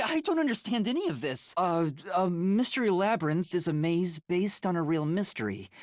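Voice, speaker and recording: An earnest young male detective from a video game. He sounds boyish and easily flustered, with hesitant phrasing and pitch that moves around a great deal. The sound is dry and clean, with no room around it. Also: dry recording. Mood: confused, hesitant. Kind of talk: English video game voice acting.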